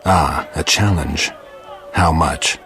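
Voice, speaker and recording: Very deep, deadpan monster hunter. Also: male voice, slow, soft background music, heavily acted. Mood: deadpan taunting. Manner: deadpan, laconic. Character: gruff, stoic male monster hunter with dry wit. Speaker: player character of a fantasy game. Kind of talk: fantasy video game dialogue.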